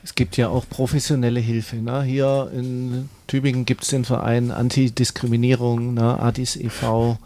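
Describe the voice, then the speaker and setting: Man with a dark voice, explaining. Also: medium pitch, level tone, moderate pace, hardly any pauses. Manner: explanatory, with many tag questions. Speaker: discussion participant. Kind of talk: casual round-table discussion.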